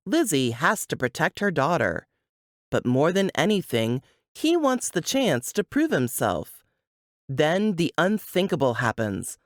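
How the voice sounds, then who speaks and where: A woman's voice: fairly low pitch, no echo, clean studio sound. A woman reading scripted, dramatic book narration.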